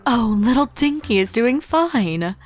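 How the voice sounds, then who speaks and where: Regal storybook pony princess played by a woman: polite, measured, kindly, fairly high voice. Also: animated, faint music behind.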